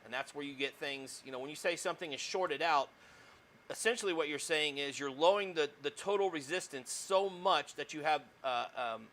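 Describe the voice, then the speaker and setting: A man lecturing on electronics with a loud voice that fills the room, pitched a little above the usual male range. He is recorded on a clip-on mic. He keeps checking in with his students as he talks and fills his pauses with lots of ums and uhs. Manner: loud projecting voice, says he yells; frequent fillers and tag questions. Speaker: college electronics instructor. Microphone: lapel mic.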